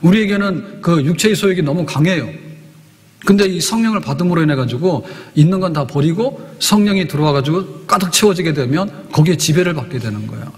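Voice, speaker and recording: Preaching pastor in a reverberant church. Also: male voice, a little high. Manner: asks the congregation questions, emphatic. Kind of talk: sermon. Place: church sanctuary.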